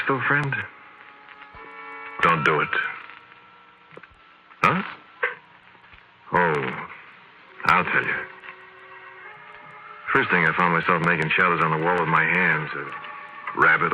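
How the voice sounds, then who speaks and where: A man's voice: sits low, pushed and bright, slight background noise, full character voice, poor audio. An actor playing a shaken, haunted man confiding his eerie story conversationally in 1940s radio drama style. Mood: confiding, uneasy. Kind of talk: 1940s radio drama first-person narration. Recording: old radio drama with music behind.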